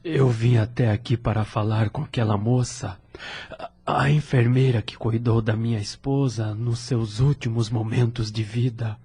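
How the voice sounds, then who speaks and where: A man's voice: medium pitch, fairly even intonation, normal speed, dark tone, breathy edge. A man playing a devoted, grieving widower in an audio drama, earnest and emotional in his delivery. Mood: subdued sorrow. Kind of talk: melodramatic audio drama acting.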